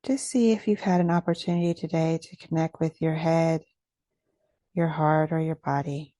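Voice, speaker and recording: A woman leading a guided meditation in a gentle, measured, instructional way. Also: sits low, steady tone, no room sound, studio quality.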